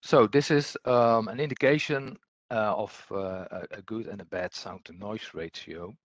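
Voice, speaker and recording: A man giving an explanatory technical presentation as a webinar trainer, speaking in a hesitant, halting way. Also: lowish voice, steady tone, no echo.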